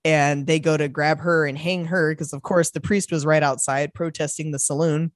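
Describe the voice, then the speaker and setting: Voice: medium pitch, little pitch movement, normal speed, no room sound, studio quality. A podcast co-host in casual film chat, rambling and laughing often. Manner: rambling, laughs often, acts out movie quotes.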